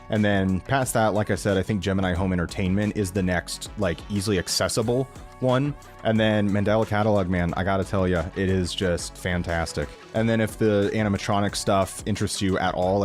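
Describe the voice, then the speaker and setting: Young man, low voice, casual rambling reviewer, enthusiastic, informal, music in the background. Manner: informal, enthusiastic, self-deprecating, mild swearing. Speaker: reaction video creator.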